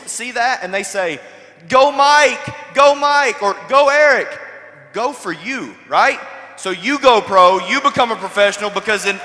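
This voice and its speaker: Bright-voiced young man coaching an audience. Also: high voice. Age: 20s. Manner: coaching, direct, rising to emphatic. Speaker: motivational speaker. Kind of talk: stage motivational speech. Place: large event hall. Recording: hall reverb.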